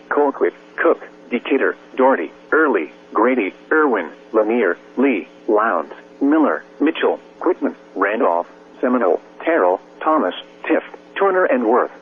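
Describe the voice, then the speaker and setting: Tinny radio man reading stiff synthetic weather bulletin. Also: mid-range voice. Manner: stiff, measured synthetic reading with clipped list phrasing. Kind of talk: formal scripted hurricane warning bulletin. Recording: tinny weather radio broadcast with hum, faint hiss.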